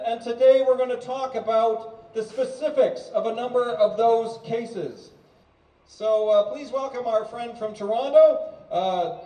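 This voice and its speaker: A man's voice: very high-pitched, warm tone. A man hosting, earnestly introducing a guest speaker and stressing key words. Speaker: host. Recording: roomy sound from far off.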